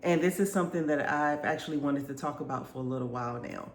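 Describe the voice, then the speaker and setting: A woman's voice: low-pitched, fast talker. A woman in her fifties, congested and stuffy-sounding, chatting casually to her viewers and telling stories with frequent laughs. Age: 50s. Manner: chatty, laughs often, lively storytelling. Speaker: vlogger. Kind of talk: casual chat to camera. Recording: echoey room, faint background noise.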